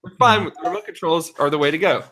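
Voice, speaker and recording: A man's voice: a little high, fast talker, bright, forceful tone, slight background noise. A man co-hosting a comedy podcast, arguing in an emphatic, mock-serious way during casual banter.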